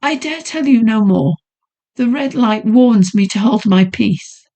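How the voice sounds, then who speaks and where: A woman's voice: a little high, expressive, measured pace, dark tone, voice pushed up. A woman doing a haunted, pleading young noblewoman. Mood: fearful sorrow. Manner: breathless pleading, trembling intensity. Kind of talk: audiobook character voice.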